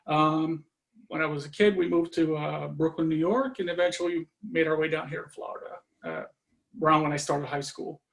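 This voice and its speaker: Brief: man from Norway, fairly high voice with a gravelly edge, loose and rambling guest talk. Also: moderate pace, poor audio. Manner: relaxed, lots of fillers and trailing off. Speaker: interview guest. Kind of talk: casual conversation.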